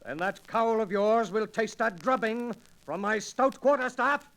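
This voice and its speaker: An actor playing a bold, passionate young outlaw leader in a 1940s radio adventure, with declamatory archaic speech. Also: male voice, high-pitched, a little slow, creaky, fairly bright tone, exaggerated voice. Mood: defiant, commanding. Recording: noisy 1940s radio recording with clicks.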